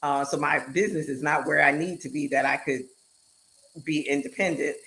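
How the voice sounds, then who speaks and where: A woman hosting a live online show, chatting in an animated, emphatic way and speeding up in bursts. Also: low voice, normal speed, poor audio. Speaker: show host and speaker.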